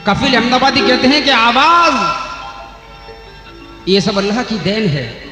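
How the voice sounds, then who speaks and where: A man's voice: very high-pitched, sing-song, a little slow, sharp tone, very echoey, in a large hall, soft background music. A man who performs ghazal and qawwali recites poetry to an audience in a declamatory stage manner, on an old cassette recording. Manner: declamatory, addresses the audience, repeats lines. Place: stage program at an urs fair.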